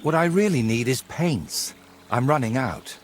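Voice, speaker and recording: An actor playing a brooding, secretive medieval painter in a historical video game, wry, teasing and evasive. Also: male voice, medium pitch, expressive, unhurried, slight background noise, lightly acted. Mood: earnest, secretive. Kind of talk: dramatic dialogue in a cinematic historical game.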